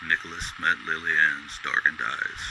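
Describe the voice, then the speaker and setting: A man narrating a scripted radio drama in a deliberate, descriptive storytelling style. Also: very deep voice, steady tone, gravelly, noisy background.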